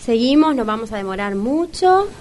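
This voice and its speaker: Actress as high-voiced, slick Argentine agency woman. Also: big pitch swings, moderate pace, a little mellow, a little hiss or hum. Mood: flustered. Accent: Argentine, Rioplatense. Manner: wordy, businesslike, affected. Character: Tamara, slick, officious, money-minded dating agency woman. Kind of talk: comic radio play acting.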